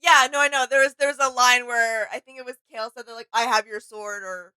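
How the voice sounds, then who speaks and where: A woman's voice: a little high, fairly even intonation, a little fast, pushed and bright, smooth, no echo, low-quality recording. A woman co-hosting a reaction video in casual chat, agreeable and informal as she thinks aloud. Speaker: co-host of a reaction video channel. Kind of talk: casual chatty reaction commentary.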